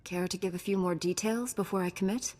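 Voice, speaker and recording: A woman voicing a composed, principled young Jedi knight in a video game, speaking in a measured, formal way. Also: on the low side, fairly even intonation, a little mellow, natural voice. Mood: dry, resolute.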